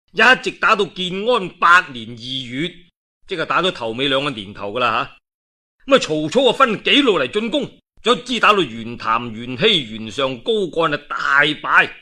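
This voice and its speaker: A man with a Cantonese accent telling a historical tale in a dramatic, colloquial and expressive oral storytelling style. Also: a little high, moderate pace. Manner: colloquial and expressive, with rhetorical asides.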